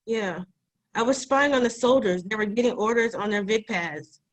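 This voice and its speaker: A woman's voice: on the high side, moderate pace, lightly acted, poor audio. A woman playing a defiant, wary young girl in a screenplay table read, speaking bluntly and informally.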